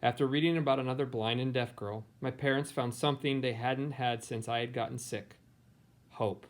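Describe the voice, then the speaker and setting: A man's voice: mid-range voice, fairly even intonation, normal speed. A man with an American accent, a teacher who talks informally and reads picture books aloud. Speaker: teacher and read-aloud host. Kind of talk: picture book read-aloud, informal introduction.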